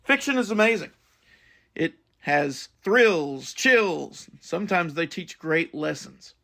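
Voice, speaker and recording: A male podcast host with a fairly high voice and noticeable vocal fry. He delivers a scripted monologue earnestly, explaining things as he goes. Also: lively intonation, takes it slowly, a bit bright.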